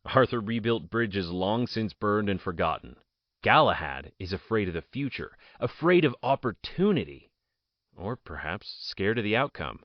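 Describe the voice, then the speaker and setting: An actor playing an anguished, imprisoned political outcast with strange powers, speaking in theatrical, solemn soliloquy that can break into frantic outbursts. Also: male voice, lowish voice, very animated, normal speed, dry recording. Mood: bitter. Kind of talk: audio drama acting, soliloquy. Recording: dull lo-fi dry recording.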